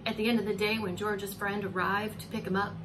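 A woman's voice: medium pitch, flat delivery, fairly quick. A woman in her forties reading a children's story aloud as a preschool teacher, very expressively, drawing out words and putting coaxing questions to her little listeners. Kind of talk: animated children's story read-aloud with asides to young listeners. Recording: clearly noisy home recording.

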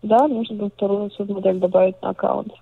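Woman, phone support agent, formal scripted delivery at a fast clip, dry lo-fi line. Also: medium pitch, steady tone, gravelly, husky, no room sound. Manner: polite, scripted, businesslike. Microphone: telephone.

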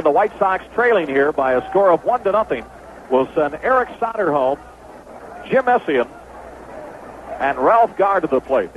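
An American man calling a baseball game as a play-by-play announcer in 1970s radio style. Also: sits high. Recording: dull, noisy old AM radio broadcast.

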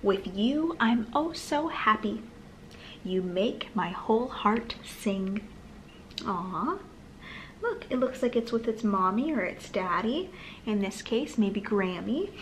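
Slow-talking woman reading to toddlers. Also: medium pitch, slight background noise, low-quality recording. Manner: slow, sing-song reading with gentle asides. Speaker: children's storytime host and reader. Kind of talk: read-aloud storytime for toddlers, addressing young children. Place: small living room, on a sofa, indoors.

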